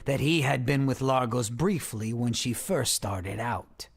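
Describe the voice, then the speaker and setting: An actor playing a gruff, streetwise, swaggering tough guy with a gravelly voice in a noir audio drama. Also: mid-range voice, a little slow. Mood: gruff, pushy. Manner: swaggering, sardonic. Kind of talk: noir radio drama acting.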